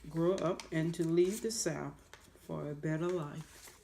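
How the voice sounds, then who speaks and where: A woman answering interview questions in plain, brief, measured replies. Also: low-pitched, steady tone, creaky, noisy background.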